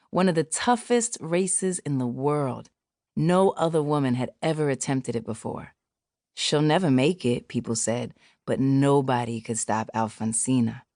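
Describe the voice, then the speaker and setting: A woman working as an audiobook narrator, reading a scripted children's story with measured expression. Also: sits low, a little mellow, no room sound, clean studio sound.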